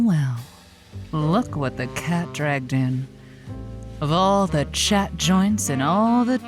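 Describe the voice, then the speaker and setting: Sly, flirtatious woman radio host, music behind. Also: lowish voice. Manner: sly, theatrical, teasing. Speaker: late-night call-in radio host. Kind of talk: audio comedy acting.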